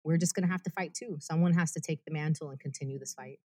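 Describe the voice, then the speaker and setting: A woman's voice: low voice, a little mellow. A woman, speaking earnestly and passionately as an activist in an interview. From United States. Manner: earnest, passionate, fast and emphatic. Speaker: activist guest. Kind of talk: interview conversation.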